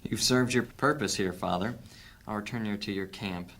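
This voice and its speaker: An actor playing a calm, commanding leader of thieves in a fantasy audio drama, measured and authoritative. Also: male voice, fairly low pitch. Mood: formal.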